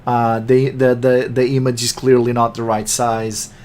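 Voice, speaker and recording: A raspy-voiced man, an English speaker, hosting a casual developer interview and talking fast. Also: medium pitch, little pitch movement, darkish tone, slight background noise. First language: Portuguese. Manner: fast-talking, self-conscious, rambling. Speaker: podcast host and game developer. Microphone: computer microphone.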